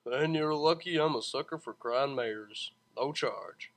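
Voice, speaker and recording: A woman's voice: extremely low pitch, unhurried. A woman voicing a kind, chivalrous male pony carriage driver with an easygoing drawl. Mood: kindly insistent.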